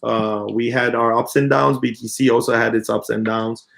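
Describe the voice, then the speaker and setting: Man, 30s, company CEO, gravelly voice, halting and earnest, lots of fillers. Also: mid-range voice, steady tone, a bit bright. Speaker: company CEO answering questions. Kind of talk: unscripted spoken answer in an AMA.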